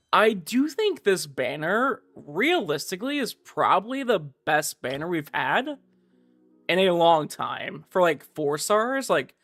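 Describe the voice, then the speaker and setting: A man's voice: high-pitched, fairly bright tone. A man livestreaming a game, chatting casually and giving commentary in a slangy, informal way full of filler words. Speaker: livestreamer playing a gacha game.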